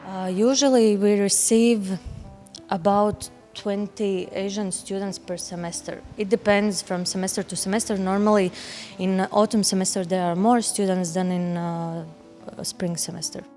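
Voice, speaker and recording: Mellow-voiced woman, businesslike. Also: mid-range voice, fairly even intonation, moderate pace, soft background music.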